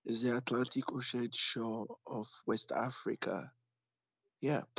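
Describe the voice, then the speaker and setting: A man's voice: medium pitch, fairly even intonation, measured pace, gravelly, husky, no echo. A man in his late twenties with a Nigerian accent talks as an interview guest. His line sounds dull and dry, with no room sound at all. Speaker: interview guest, author and activist. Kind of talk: casual interview.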